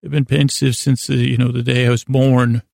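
A man with a gravelly voice hosting a bedtime podcast, talking in a hesitant, meandering way, stumbling over words and drifting off into tangents to lull listeners to sleep. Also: medium pitch, level tone, moderate pace, darkish tone, no room sound, studio quality. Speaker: podcast host. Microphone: close studio mic.

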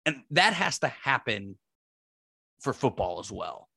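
A man with a deep voice co-hosting a casual sports podcast, rambling and full of fillers. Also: a little slow, gravelly, slightly rough, dry recording, clean studio sound. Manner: rambling, animated, lots of fillers. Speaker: podcast co-host.

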